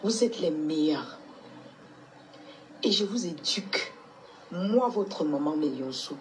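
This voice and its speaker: A woman's voice: low-pitched, animated, slow, slight background noise. A woman with a cold, coughing now and then, talking to her fans in a motherly, scolding way on a live stream. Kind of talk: casual live stream address.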